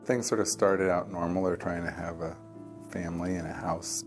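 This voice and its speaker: Documentary interviewee, male. Deep with vocal fry, plain-spoken and halting, reflective personal storytelling. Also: flat delivery, over music.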